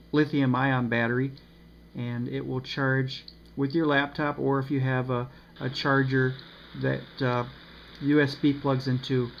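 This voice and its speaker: A man giving a casual, unscripted review of a radio, pausing often with ums and uhs. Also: mid-range voice, gravelly. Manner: hesitant, many ums and uhs. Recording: slightly dull with faint noise.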